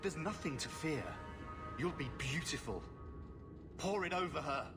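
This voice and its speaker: A man's voice: fairly high pitch, animated, measured pace, over music. An actor playing a crazed, greedy and lustful nobleman in a fantasy video game, gloating as if in a depraved reverie. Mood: greedy, manic.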